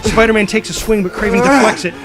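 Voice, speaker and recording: Male narrator for scripted action: high voice, dramatic stage-direction reading, clear music bed beneath. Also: fast.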